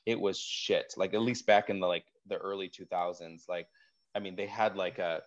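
A man in his forties from Wisconsin, a guest telling stories in casual conversation, with a deep voice and a chatty manner full of "like" and "um". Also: normal speed, dull audio. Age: 40s. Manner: animated storyteller, frequent "like" and "um".

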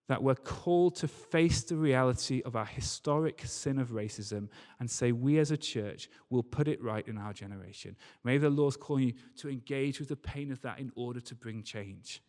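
A man's voice: mid-range voice, normal speed, darkish tone. A man preaching a church sermon in an earnest, emphatic way, repeating key phrases. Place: large reverberant church or hall. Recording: long hall reverb.

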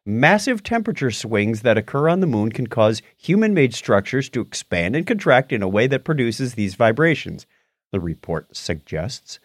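A man's voice: medium pitch, normal speed, no echo, clean studio sound. A man co-hosting a podcast in a casual, jokey style, bantering and reading items aloud. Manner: jokey, animated. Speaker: podcast co-host.

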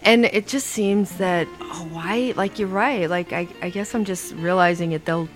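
A woman's voice: lowish voice, unhurried, music in the background. A woman guest on a casual podcast, speaking thoughtfully and hesitantly, with many "like"s.

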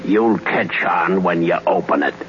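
Deep-voiced shady messenger. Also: male voice, measured pace, background noise. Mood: sly. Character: shady male messenger for a gambling boss. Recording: muffled 1930s radio drama.

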